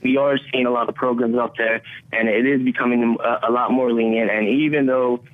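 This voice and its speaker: A man whose first language is Spanish, a mortgage loan officer calling into a radio show and speaking haltingly with many fillers. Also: mid-range voice, steady tone. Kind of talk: casual radio interview. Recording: tinny phone line.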